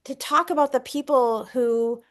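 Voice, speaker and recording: A woman speaking as a scholar on an academic panel, earnest and halting as she rephrases her thoughts aloud. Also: high-pitched. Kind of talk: unscripted academic discussion.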